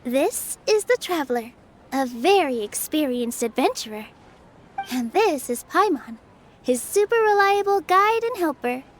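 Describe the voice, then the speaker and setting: A woman playing a gentle, modest young dancer in a video game, polite and earnest in manner. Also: sits high, very animated, unhurried. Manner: polite, soft-hearted, earnest.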